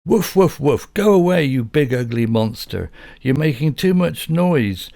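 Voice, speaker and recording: Bobby the dog: very high, loud, frantic little female pup, as a male reader puts her on. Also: animated, measured pace, creaky, no echo, clean studio sound. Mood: angry barking. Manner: frantic yapping, barks aloud. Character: excitable young female pet dog.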